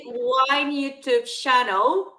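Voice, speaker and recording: A woman's voice: sits high, steady tone, drawn-out words, pushed and bright, reverberant. A woman chatting casually as the host of an interview. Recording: distant from the microphone.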